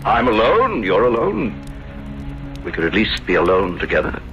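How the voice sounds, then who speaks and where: A suave, scheming middle-aged gentleman in a vintage radio mystery. His lowish, bright voice swoops theatrically with courtly pauses. The old broadcast is dull, with music behind. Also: wildly swooping pitch, exaggerated voice. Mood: coaxing. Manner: theatrical, courtly, hesitating for effect. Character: smooth, scheming middle-aged Italian gentleman hiding a secret. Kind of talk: 1970s radio drama acting.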